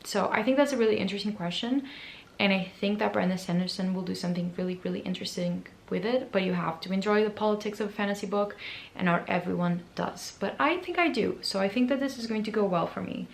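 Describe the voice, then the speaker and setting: A woman with a hoarse, raspy voice chatting casually about books to camera, chatty and self-deprecating. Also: mid-range voice, a little fast, reverberant, slight background noise. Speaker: book vlogger. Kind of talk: casual book chat to camera.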